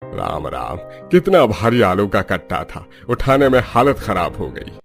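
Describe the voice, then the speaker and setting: A man's voice: lowish voice, sing-song, a little slow, vocal fry, faint music behind, heavily acted. An actor playing a worn-out household man in a cartoon, groaning after heavy lifting. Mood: weary.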